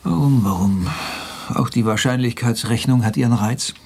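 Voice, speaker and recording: A man's voice: medium pitch, normal speed, gravelly, darkish tone, slightly rough. An actor playing a world-weary, sardonic flight instructor in a naturalistic radio play, laconic, mocking and fatalistic in his talk. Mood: sour, fatalistic.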